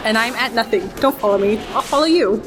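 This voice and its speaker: A woman's voice: a little high, quick pace, music in the background. A woman reading a scripted podcast intro. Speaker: podcast host.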